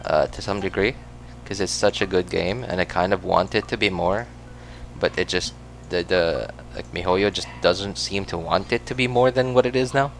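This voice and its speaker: A man's voice: low-pitched, normal speed, fairly bright tone, a little hiss or hum. A man with a Filipino accent, whose first language is Cebuano, chatting casually while he plays a game, rambling with lots of fillers and self-corrections. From Philippines. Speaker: gaming streamer.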